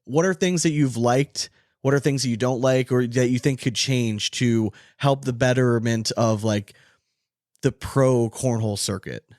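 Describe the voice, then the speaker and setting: A man with a middling-pitched voice chats as co-host of a sports podcast. He is emphatic and opinionated, with casual swearing. The sound is dry and close. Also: unhurried, darkish tone, no echo.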